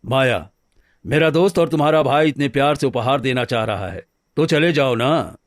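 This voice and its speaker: A man playing a gentle, regretful village husband in a cartoon moral story, speaking plainly and earnestly. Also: medium pitch, moderate pace, no room sound, clean studio sound, lightly acted. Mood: agreeable. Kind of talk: animated moral story dialogue.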